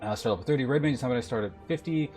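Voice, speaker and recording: A man streams a game in an average-pitched voice. He talks informally, moving between reading on-screen text and thinking aloud. Music plays clearly behind his voice. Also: rapid-fire, a little mellow.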